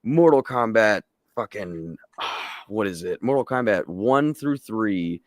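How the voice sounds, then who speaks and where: A low-voiced man chats casually as a podcast co-host. The sound is dry and close, and he talks like a devoted fan, loose with his swearing. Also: expressive, takes it slowly, no room sound. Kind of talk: casual live podcast chat.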